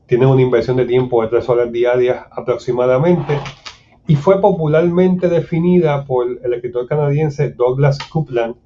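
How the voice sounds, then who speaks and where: A man with a Puerto Rican accent gives a formal, measured lecture as a mediator speaking at a seminar. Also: medium pitch, steady tone, mellow, slight background noise. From Puerto Rico. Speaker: conflict mediator giving a seminar talk. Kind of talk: prepared lecture for a recording. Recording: dull, muffled lo-fi recording.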